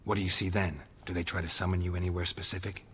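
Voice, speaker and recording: An actor playing a stoic, weathered monster hunter in a video game, terse and deadpan. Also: male voice, deep voice, fast, husky. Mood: probing. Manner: terse, deadpan, matter-of-fact. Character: stoic, weathered male monster hunter, methodical and world-weary. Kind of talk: video game cutscene acting. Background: loud music.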